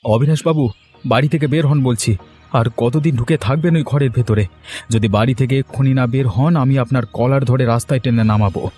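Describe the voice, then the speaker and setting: An actor playing a hot-headed, vengeful young village son-in-law in a cartoon story, accusing and confrontational. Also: male voice, mid-range voice, fairly quick, mellow, natural voice. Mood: furious accusing. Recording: music under the voice.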